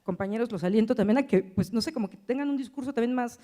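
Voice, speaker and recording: A woman's voice: fairly high pitch, fast, mellow. A woman audience member asks a question at a lecture in a hesitant, rambling, self-deprecating way, with frequent tag questions. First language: Spanish. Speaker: audience member asking a question, language teacher.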